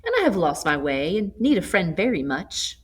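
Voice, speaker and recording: A woman's voice: on the low side, big pitch swings, normal speed, breathy edge. A woman voicing a cheerful, gallant young man in a storybook, speaking politely and persuasively. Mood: kindly, gallant. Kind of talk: storybook character voice.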